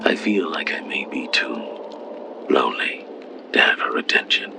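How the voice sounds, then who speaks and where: An actor playing a humble, lovestruck titan in a fantasy audio drama, speaking with hesitant, breathy earnestness. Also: male voice, expressive, a little slow, in a whisper, full character voice. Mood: shy, hesitant. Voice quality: very deep, breathy, gravelly. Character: gentle, lovestruck male titan, humble and shy.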